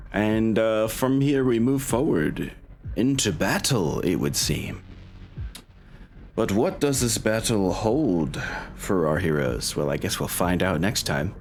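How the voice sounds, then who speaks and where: Low-voiced man, informal and chatty. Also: slight background noise. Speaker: game master and stream host. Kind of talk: casual chat with players and stream viewers.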